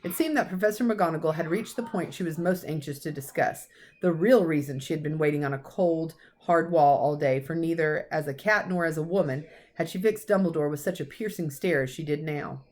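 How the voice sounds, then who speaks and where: Low-pitched woman reading a story. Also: fairly quick, darkish tone. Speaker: teacher reading a story aloud. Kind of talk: expressive children's story reading.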